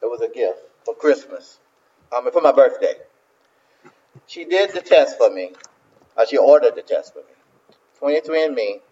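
A man's voice: medium pitch, lively intonation, moderate pace, pauses often, warm tone, muffled recording. A man, a minister and host talking casually, rambling with repeated words and many fillers. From South Carolina. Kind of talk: casual talk to listeners.

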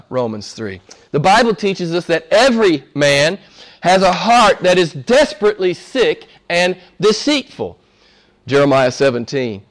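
A man preaching a sermon with fervor, hoarse from a cold and losing his voice, dropping to a hush and rising to shouts. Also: a little high. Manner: fervent, swings from hushed to shouting. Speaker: pastor.

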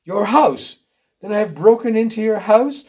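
A man's voice: fairly high pitch, moderate pace, close to an everyday voice. A man playing a wretched, remorseful man with theatrical, melodramatic delivery in a story reading. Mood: anguished remorse. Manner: theatrical, anguished declaiming.

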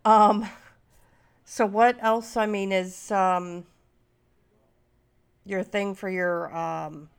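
Stuffy-nosed woman with a gravelly voice. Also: medium pitch, steady tone, extremely slow, long pauses. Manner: rambling, many fillers and restarts. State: stuffy and nasal from a cold. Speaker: podcast host. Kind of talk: casual podcast chat.

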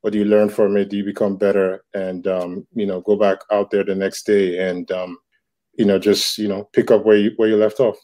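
A man's voice: lowish voice, level tone, brisk, warm tone. A man in his thirties from Nigeria, a comic book creator answering interview questions in a reflective way, with many fillers and restarts. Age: 30s. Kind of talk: casual interview talk.

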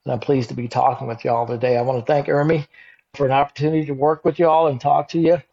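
A man's voice: medium pitch, level tone, quick pace, creaky, mellow, husky, muffled recording. A man in his fifties from Texas, with a Texan accent, giving a conversational conference talk with frequent fillers. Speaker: guest speaker, insurance executive.

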